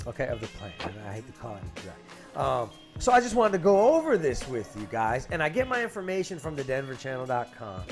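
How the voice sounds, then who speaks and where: A man's voice: mid-range voice, fast talker, faint music behind. A man hosting a casual podcast, talking in a loose, profane way and reading numbers out to his cohosts. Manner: animated, profane, reads stats to cohosts. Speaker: podcast host. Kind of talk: casual chat.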